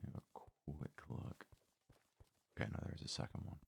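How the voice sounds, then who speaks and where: A man giving casual ASMR let's play commentary in a very soft, hushed, breathy voice, murmuring his thoughts aloud without hurry. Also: a little hiss or hum. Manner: unhurried, murmured thinking aloud. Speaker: gaming video host.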